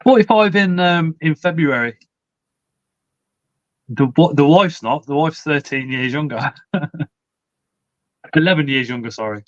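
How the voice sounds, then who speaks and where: A man's voice: fairly high pitch, measured pace, pauses often, no echo, muffled recording. Chatty man in his forties. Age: 40s. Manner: chatty, swears casually, laughs often. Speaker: livestream host. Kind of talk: casual live chat, reading comments.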